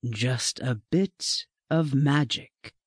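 A narrator voicing a gentle, mysterious male librarian who speaks softly and reverently, with measured dramatic pauses, in a storybook reading. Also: mid-range voice, expressive, no room sound, clean studio sound, voice pushed down. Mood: hushed, reverent.

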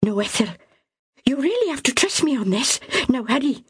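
A frantic young mother pleads in a high, strained voice, played by a woman reading an audiobook. Her words tumble out breathlessly. The sound is dry and close. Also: sing-song, normal speed, husky, no echo, full character voice. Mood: urgent.

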